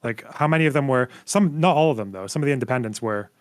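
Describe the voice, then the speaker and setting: A man's voice: mid-range voice, fast, dry recording, studio quality. A man in a casual online debate, pressing the other speaker for concrete answers. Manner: probing, pushes for specifics. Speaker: debate guest.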